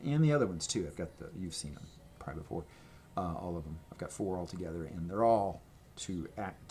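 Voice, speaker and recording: A man's voice: low-pitched, darkish tone, slight background noise. A man talking to his camera at home in a rambling, casual show-and-tell, full of fillers and restarts. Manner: chatty, meandering, many fillers and restarts. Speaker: vlogger showing his merch. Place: home room with gray walls and a red accent wall. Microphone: camera microphone, speaking to camera at a table.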